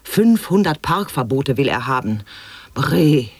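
A man's voice: mid-range voice, sing-song, a little slow, darkish tone, no echo. An actor playing an eccentric man who declaims absurd wordplay in staccato lists for an experimental radio drama. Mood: haranguing.

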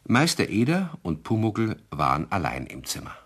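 A genial male narrator tells a children's radio tale in a deep voice. He addresses the young listeners playfully, with expressive, widely varied intonation. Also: moderate pace, exaggerated voice. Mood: confiding. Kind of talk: storytelling for children in a radio play.

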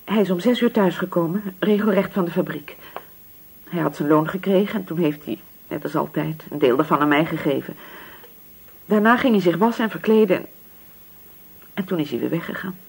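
An actress playing a poor, anxious, grieving widow in a radio drama, speaking hesitantly and submissively. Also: female voice, on the low side, a little fast, vocal fry, long pauses, husky, exaggerated voice. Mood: subdued. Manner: hesitant, repeating words, submissive.

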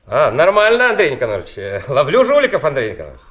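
An actor playing a self-important district prosecutor, a boss in an audio play. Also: male voice, mid-range voice, wildly swooping pitch, fast talker, dull audio. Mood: deferential. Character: self-important district prosecutor, sarcastic with staff, deferential to superiors.

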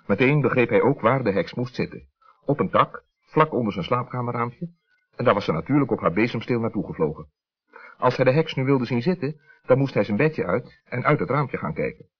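A man narrating a scripted children's story as an expressive storyteller. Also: medium pitch, fairly quick, breathy edge. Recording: dull 1960s radio recording.

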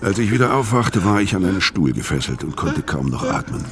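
A man's voice: low voice, sing-song, a little fast, background noise. An actor playing a weary, hard-bitten hitman in a radio crime drama, terse and matter-of-fact as narrator and in dialogue. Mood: grim, pained. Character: weary, hard-bitten male hitman recounting his brutal past. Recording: music behind the voice.

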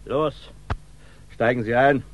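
An actor playing a smug, blustering police inspector in a 1960s German radio crime drama, accusing and emphatic. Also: male voice, medium pitch, steady tone, slow pace, close to an everyday voice. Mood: grumbling, officious. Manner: emphatic, officious, insinuating. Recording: dull 1960s radio recording.

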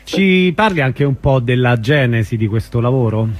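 A man hosting a radio theatre show in a studio, introducing guests and announcing shows in a measured way. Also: mid-range voice, animated. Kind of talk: radio interview chat.

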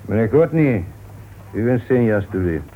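Low-voiced, composed police inspector, old radio. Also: male voice, big pitch swings. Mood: calm, firm. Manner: courteous, unhurried questioning. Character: composed, politely insistent male police inspector. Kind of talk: Dutch radio play acting. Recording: noisy, phone-like old radio recording.

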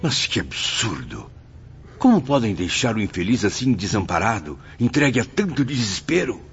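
A Brazilian actor playing an indignant, protesting spirit pupil with a raspy voice in a radio drama. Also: male voice, fairly low pitch, big pitch swings, normal speed, faint music behind, exaggerated voice. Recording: dull lo-fi audio.

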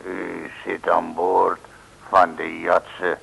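An actor playing a gruff, burly sailor who is surly, curt and deadpan in a vintage comic radio serial. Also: male voice, low voice, very slow, exaggerated voice. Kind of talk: 1960s Dutch comic radio serial acting. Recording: hissy old radio recording.

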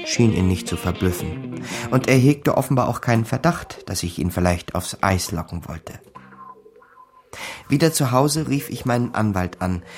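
An actor playing a wry small-time con man who tells his own story in a radio drama, dry and matter-of-fact. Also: on the low side. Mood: sly. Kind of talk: scripted first-person radio drama narration.

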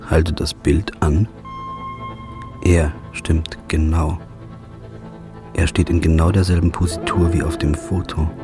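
A man with a very low voice narrates in a flat, brooding noir manner. He keeps his delivery understated and heavy, with clear music running behind. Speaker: narrator and lead. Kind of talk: first-person noir narration.

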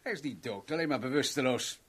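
A man's voice: mid-range voice, animated, fast talker, a bit bright. An actor playing a menacing, domineering rich businessman in a radio play, sneering and taunting as he gives orders. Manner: commanding, taunting, theatrical. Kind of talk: 1970s Dutch radio play acting. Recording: noisy old radio drama recording.

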